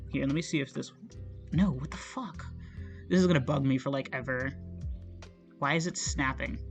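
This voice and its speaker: A chatty streamer talks loosely while drawing, voice slightly strained and scratchy, self-conscious and quick to laugh and swear. Middling pitch. Faint music sits softly behind the talk. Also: creaky. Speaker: digital artist streaming. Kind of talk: casual rambling commentary while drawing.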